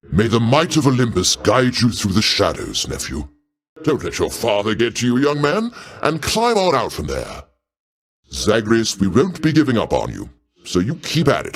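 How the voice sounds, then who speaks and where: Zeus, a grandiose, sweepingly expressive god king. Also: medium pitch, normal speed. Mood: grand, encouraging. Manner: grandiose, avuncular, courtly old-fashioned diction. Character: Zeus, mighty older god king, genial doting uncle. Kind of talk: theatrical video game voice acting.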